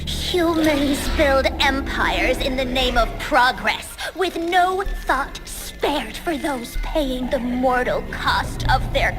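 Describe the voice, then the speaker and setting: An actress playing a proud, menacing vampire leader in a video game cutscene, grandiose and scornful of humans. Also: female voice, high-pitched, full character voice. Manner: slow, grandiose, theatrical. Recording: loud music over the voice.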